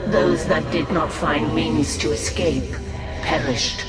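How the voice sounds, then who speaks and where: A grave storyteller, narrating a dark sci-fi war game slowly and with measured weight. The voice is a little high and sits back beneath very loud music. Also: male voice, steady tone. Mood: somber. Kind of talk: scripted narration. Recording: distant voice under loud music.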